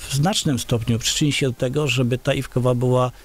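A middle-aged man speaks into a large studio microphone on a boom arm in a small radio studio. He answers as an interview guest, halting often with filler sounds and restarting his sentences. His pitch sits in the middle range for a man. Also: steady tone. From Poland. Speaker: village head, interview guest.

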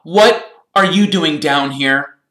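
A blunt, excitable wizard schoolboy read by a man, casual and lively in intonation. The storybook character is heard in an echoey room. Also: low-pitched, unhurried. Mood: blunt, eager.

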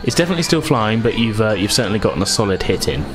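A man's voice: lowish voice, big pitch swings, rapid-fire, over music. A man running a tabletop roleplaying game, narrating combat and calling out dice results. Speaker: game master.

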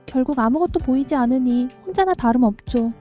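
A woman's voice: high-pitched, expressive, fairly quick. A woman reading a visual novel heroine's dialogue aloud on a game stream. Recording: dull lo-fi voice chat with music.

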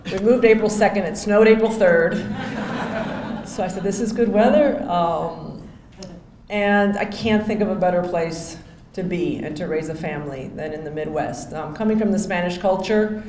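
A woman's voice: on the low side, darkish tone. A woman in her forties from Miami giving a hall of fame acceptance speech at a podium microphone, chatty and full of thank-yous and restarts. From Miami, Florida. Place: hotel ballroom, on stage at a podium. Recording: echoey ballroom with faint hum.

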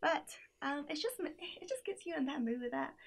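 Young woman with a high, gravelly voice. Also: animated, normal speed. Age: young adult. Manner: chatty, filler-heavy, giggly asides. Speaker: playlist reviewer. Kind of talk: casual chat. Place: small room indoors by a door.

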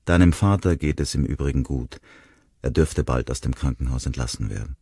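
Mann als gütiger alter Pfarrer, ruhig, tiefe heisere Stimme. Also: male voice, very deep voice, brisk, warm tone, slightly rough, no room sound, full character voice. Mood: gentle. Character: kindly older male pastor.

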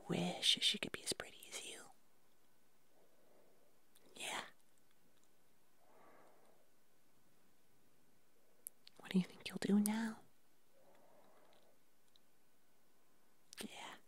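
A woman voicing a gentle, doting furry puppet creature in a soft, breathy whisper, murmuring soothingly in an ASMR roleplay. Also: mid-range voice, fairly even intonation, dry recording, exaggerated voice. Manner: slow, soothing, affectionate murmuring.

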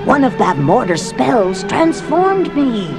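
An urgent, solemn sorceress trapped in animal form, played by a woman. She speaks emphatically in a high, lively voice, as in a storybook drama, over loud music. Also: slow pace, creaky, full character voice. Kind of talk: dramatised children's audio storybook.

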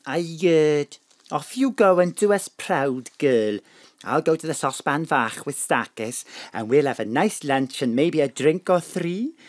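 A woman playing a warm, saucy Welsh mother figure in an audio drama, teasing and encouraging. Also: low voice, expressive, unhurried, dry recording. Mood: teasing, fond.

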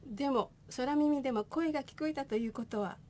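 A woman's voice: high voice, fairly quick, warm tone, natural voice. A woman playing a cheerful, chatty company president in a comic radio drama.

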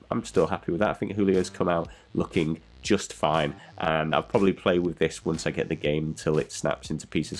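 Deep-voiced male tutorial host, congested from a cold, rambling and easygoing. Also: a little mellow. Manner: rambling, self-deprecating, chatty. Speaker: miniature painting channel host. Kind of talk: casual painting tutorial voice-over.

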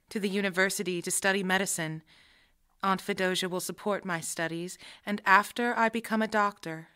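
Actress as devoted young daughter, very unhurried, smooth clear voice, tender, sincere, radio drama letter monologue. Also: female voice, mid-range voice, fairly bright tone, natural voice.

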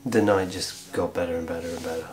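A man's voice: low-pitched, steady tone, quick pace, a little mellow, faint music behind. A man in his twenties talking casually to camera as a vlogger, in a small room. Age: 20s. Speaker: vlogger and musician. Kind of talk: casual vlog talk.

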